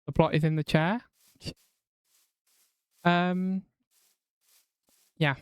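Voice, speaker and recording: An English woman with an accent that mixes south and north, chatting away on a live game stream and reading her chat aloud. Her voice is smooth and clear, recorded close and dry with no room sound. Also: lowish voice, fairly even intonation, drawn-out words, no room sound. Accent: mixed southern and northern English. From England. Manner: chatty, swears casually, reads chat aloud.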